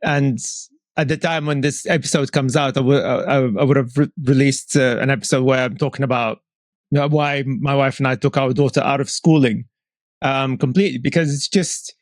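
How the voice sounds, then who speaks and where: A man's voice: mid-range voice, fairly even intonation, brisk, dry recording. A man hosting a podcast interview, speaking bluntly and with animation, often repeating words as he restarts sentences. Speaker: podcast host. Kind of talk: casual interview talk.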